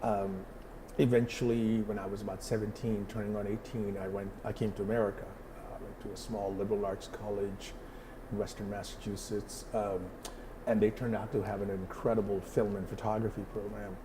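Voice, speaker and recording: A man's voice: lowish voice, level tone, brisk, noisy background. A middle-aged man from Pakistan, a photographer answering interview questions thoughtfully, with many fillers and restarts.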